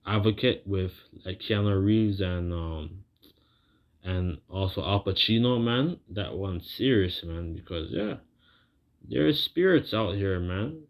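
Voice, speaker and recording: A man preaching informally as he talks and reads scripture, rambling and halting, repeating phrases and checking in with casual tags, on a muffled recording. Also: deep voice, lively intonation. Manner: colloquial, seeks agreement with tags like "right". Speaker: religious preacher. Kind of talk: informal sermon with scripture reading.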